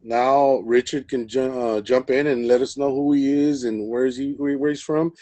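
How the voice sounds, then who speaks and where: A man's voice: medium pitch, steady tone, moderate pace, warm tone. A man hosting a live stream in a casual, rambling way, full of fillers and repeated words. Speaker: host.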